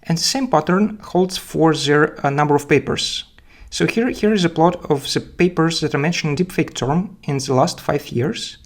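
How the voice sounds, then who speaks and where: A man with a Belarusian accent giving technical explanations as a guest speaker, haltingly, often repeating words. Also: a little high. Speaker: guest speaker, data scientist. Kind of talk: technical presentation and interview answers.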